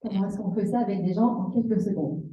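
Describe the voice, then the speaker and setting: A woman's voice: medium pitch, quick pace, warm tone. A woman interpreting a seminar live, phrase by phrase, expressively echoing the examples and sometimes correcting herself. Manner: echoes the speaker's examples expressively, with self-corrections. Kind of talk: consecutive interpreting of a seminar talk. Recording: roomy, slightly dull sound.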